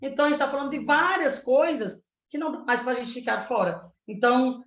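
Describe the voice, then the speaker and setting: A woman's voice: high voice, a little fast. A woman in her thirties from Pernambuco, a candidate for the state legislature, answering in a panel debate with an earnest, activist way of speaking and frequent tag questions. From Pernambuco, Brazil. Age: 30s. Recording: roomy, dull lo-fi sound.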